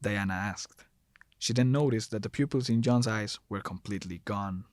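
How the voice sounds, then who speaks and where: A man's voice: fairly low pitch, moderate pace, no echo. A man narrating a scripted horror story as the narrator, recorded close to the mic.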